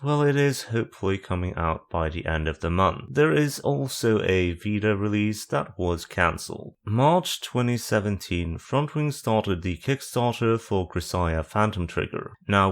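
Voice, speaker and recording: A man with a somewhat low voice narrates a scripted commentary video. He reads through the facts steadily, then slips in dry, sarcastic jabs. The delivery stays plain and unhurried. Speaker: video essayist.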